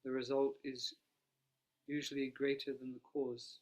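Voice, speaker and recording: A quiet, soft-spoken man guides a meditation as a monastic teacher. His delivery is even and nearly flat in pitch, calm and unhurried, and he talks without a dedicated microphone.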